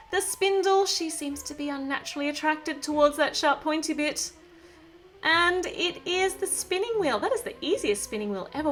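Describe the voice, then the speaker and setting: High, swooping, playful woman presenter. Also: fairly bright tone. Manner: playful, gushing, exclamatory; talks to the viewer. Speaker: toy review presenter. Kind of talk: chatty build-along narration for children.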